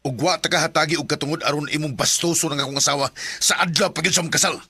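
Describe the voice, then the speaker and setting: A man's voice: on the high side, fairly even intonation, fast talker, slightly rough, no room sound, full character voice. A man voicing a devoted young man in a radio drama, earnest and firm with his family. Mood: defiant. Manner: earnest, soft-spoken in love scenes.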